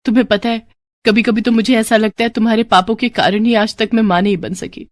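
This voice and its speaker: A woman's voice: a little high, fairly even intonation, fairly quick, no echo, studio quality, close to an everyday voice. A woman voicing an anxious, pious village wife, pleading and reproachful, in a moral-story cartoon. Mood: worried, reproachful.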